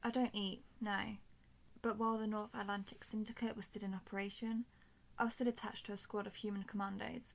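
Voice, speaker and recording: Woman acting as a stoic, creaky-voiced robot soldier. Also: medium pitch, level tone, lightly acted. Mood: calm, dutiful. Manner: formal, measured, emotionless delivery. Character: stoic, dutiful, protective female robot soldier. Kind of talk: scripted audio roleplay addressed to the listener.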